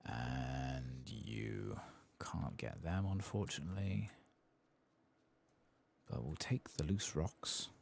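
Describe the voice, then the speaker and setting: Male ASMR gamer, very low creaky voice, soft muttered play-by-play. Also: steady tone, slow, long pauses. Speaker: ASMR gaming streamer. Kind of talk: soft-spoken ASMR game playthrough commentary.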